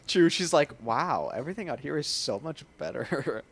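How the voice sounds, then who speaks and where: A woman's voice: low voice, expressive, moderate pace, vocal fry, breathy edge. A woman giving casual, chatty and playful commentary as she streams a video game. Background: faint game music.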